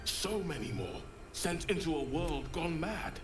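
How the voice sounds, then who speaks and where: A man's voice: a little high, big pitch swings, a little slow. An actor playing a bitter man, a druid of the grove, who speaks in a grim, clipped way, from a video game. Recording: echoey game audio with music.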